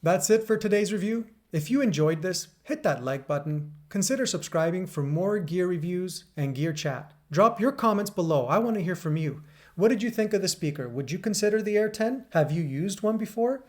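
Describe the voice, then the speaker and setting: Upbeat man with a bassy voice. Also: lively intonation, normal speed. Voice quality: deep bassy voice. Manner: upbeat, chatty, explains gear step by step. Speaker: gear reviewer and channel host. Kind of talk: casual product review.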